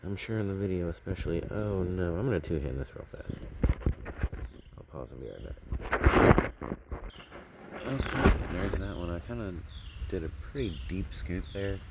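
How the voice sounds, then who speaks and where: A man's voice: low voice, expressive, moderate pace, long pauses, heavy background noise. A man casually narrating hands-on garden work outdoors, rambling and thinking aloud as he goes, recorded on a handheld camera. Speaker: gardening vlogger.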